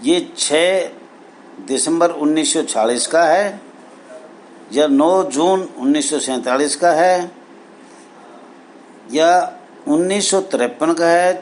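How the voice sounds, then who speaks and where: Man, halting address over noise. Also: a little high. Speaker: welfare association leader addressing members. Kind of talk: advocacy video address, reading out documents and commenting. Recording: steady background noise.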